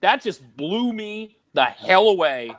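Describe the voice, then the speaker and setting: A man co-hosting a casual podcast, telling stories in an animated way and quick to joke. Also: sits high. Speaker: podcast co-host, DJ. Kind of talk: casual chat.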